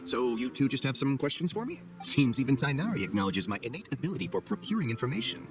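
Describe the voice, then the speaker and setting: A jumpy, self-important male researcher from a video game. He is wordy and flustered, speaking quickly with lively swings in pitch, with music behind him. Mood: relieved, smug. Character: jumpy, self-important lowly scholar, eager to excuse himself. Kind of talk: animated video game voice acting.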